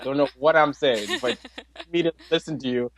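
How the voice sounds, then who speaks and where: A man in his twenties, a podcast guest, talking in an animated way, laughing often and restarting his sentences. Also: mid-range voice, normal speed. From Nigeria. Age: 20s. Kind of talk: casual interview chat.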